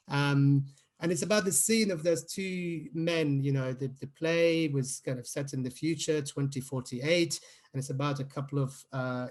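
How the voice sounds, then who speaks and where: A man's voice: medium pitch, darkish tone. A man from Palestine giving an informal talk as a guest writer, explaining things conversationally with hesitant, searching phrasing. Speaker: radio playwright, guest speaker.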